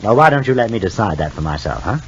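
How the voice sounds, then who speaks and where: An actor playing a stern, formal father in a 1950s radio soap, questioning in a measured, halting way. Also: on the low side, big pitch swings, slightly rough, heavily acted. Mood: restrained disappointment. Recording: dull, noisy 1950s radio recording.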